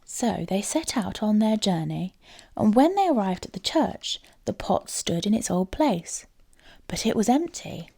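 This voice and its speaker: A woman storyteller reading a fairy tale aloud in an expressive style. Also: mid-range voice, unhurried, gravelly.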